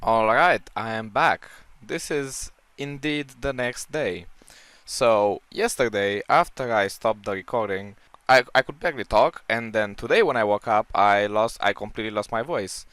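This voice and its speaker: A man with a hoarse, strained voice giving casual, unscripted commentary as he ranks books, rambling and opinionated with many restarts. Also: on the low side, normal speed, a bit bright, no echo. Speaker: book-ranking video creator.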